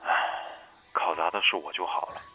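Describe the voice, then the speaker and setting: A man's voice: sits low, fairly even intonation, moderate pace, music in the background. An actor playing a brash, clingy, affectionate young male student in a Chinese audio drama, slangy and swaggering, heard through a telephone filter. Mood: guilty, subdued. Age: young adult. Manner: slangy, swaggering yet needy. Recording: dull band-limited phone-call sound.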